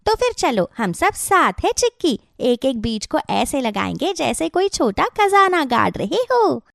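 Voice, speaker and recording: A woman's voice: sits high, sing-song, unhurried, vocal fry, no echo, studio quality, full character voice. A woman voicing a cheerful, helpful small female woodland animal with bubbly enthusiasm in a children's cartoon. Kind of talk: Hindi children's cartoon story acting.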